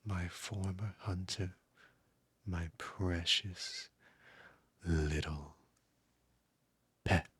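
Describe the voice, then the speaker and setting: Man acting out a deep, very slow vampire master. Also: fairly even intonation, fairly bright tone, no echo, heavily acted. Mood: soothing, possessive. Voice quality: breathy, husky. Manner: slow, condescending, coaxing, often dropping into whispers. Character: sadistic, seductive male vampire master, dominant and possessive. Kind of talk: intimate ASMR roleplay audio drama.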